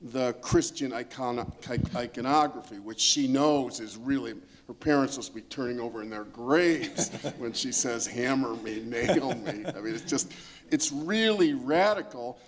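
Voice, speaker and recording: A man's voice: medium pitch, measured pace. A middle-aged man speaking as an expert panelist in an emphatic, lecturing way, repeating phrases as he builds his point. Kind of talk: panel discussion, thoughtful commentary.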